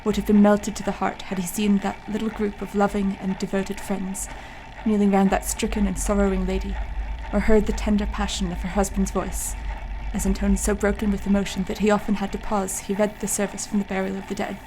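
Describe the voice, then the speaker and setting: An actress playing a reserved, educated doctor in a radio play, with a formal, measured delivery. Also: female voice, medium pitch, monotone, natural voice. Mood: sober, moved. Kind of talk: radio play acting and narration. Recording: clear background ambient noise.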